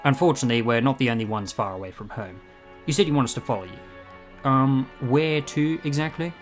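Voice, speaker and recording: A man's voice: mid-range voice, a little fast, faint music behind, natural voice. An actor playing a quirky, self-assured male time traveller in an audio drama, wry and breezy in manner. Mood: politely wary.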